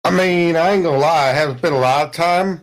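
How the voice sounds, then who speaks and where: A man's voice: a little high, fast talker, close to an everyday voice. A man voicing a folksy, overconfident spaceship crewman who rambles along with homespun sayings in a tabletop game. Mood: easygoing, boastful. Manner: drawling, rustic, rambling small talk with homespun sayings. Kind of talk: improvised in-character dialogue in a tabletop game.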